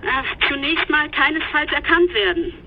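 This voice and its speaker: An actress playing a matter-of-fact investigator in a radio drama, reporting findings in a brisk, factual way. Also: female voice, high-pitched, lightly acted. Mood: factual, assured. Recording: dull lo-fi radio-like sound, clear noise.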